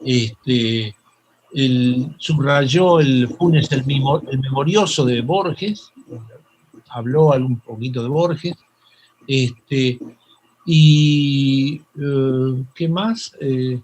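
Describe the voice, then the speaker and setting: An older Argentine man with a Rioplatense accent, a psychoanalyst telling stories in an interview, halting with many fillers and restarts. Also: mid-range voice, darkish tone, poor audio. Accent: Rioplatense Spanish. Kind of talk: reflective interview storytelling.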